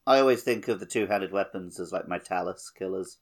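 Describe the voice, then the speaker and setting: A man's voice: low voice, a little fast. A man co-hosting a podcast, chatting casually about a video game. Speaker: podcast co-host.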